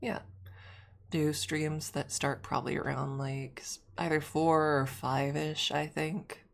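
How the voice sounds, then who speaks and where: Low-voiced, gravelly woman streamer. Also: flat delivery, slow pace. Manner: rambling, with frequent restarts. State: a little snuffly. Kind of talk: casual stream chat.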